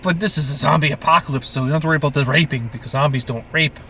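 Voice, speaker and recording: A man hosting a casual podcast chat about a TV show, with crude, profane, animated joking. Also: a little high, racing, slight background noise. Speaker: podcast host.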